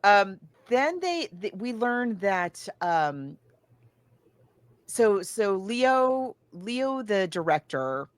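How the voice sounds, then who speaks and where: A woman podcast host chatting casually in an enthusiastic, halting way as she recaps. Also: medium pitch, sing-song, no room sound. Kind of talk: casual chat recapping a film.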